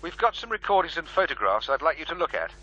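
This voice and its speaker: An actor playing a brisk, businesslike radio program maker making a persuasive pitch in a radio drama. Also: male voice, sing-song, pushed and bright, highs cut off, full character voice.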